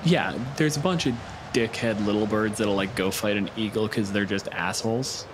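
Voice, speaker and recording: Low-voiced man running a tabletop game. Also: normal speed, background noise. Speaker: game master. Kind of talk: casual tabletop game narration.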